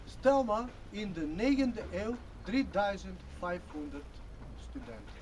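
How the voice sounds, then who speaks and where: Man guiding a tour in halting Dutch. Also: sits high, expressive. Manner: halting non-native Dutch, short fragmented phrases with many fillers. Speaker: local tour guide. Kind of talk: outdoor guided tour explanation. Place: outdoors at a church site beside an information board. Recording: outdoor camcorder audio with clear background noise.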